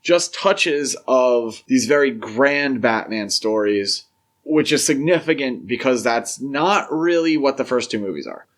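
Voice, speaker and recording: A man's voice: medium pitch, animated, unhurried. A man co-hosting a podcast, offering casual commentary with wry, analytical asides. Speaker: podcast co-host.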